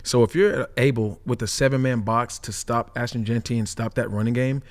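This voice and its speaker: Male ex-player analyst, medium pitch, conversational and explanatory. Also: fairly even intonation, fairly quick. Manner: explanatory, often checks with "right?". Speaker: former player turned football analyst. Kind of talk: casual sports analysis.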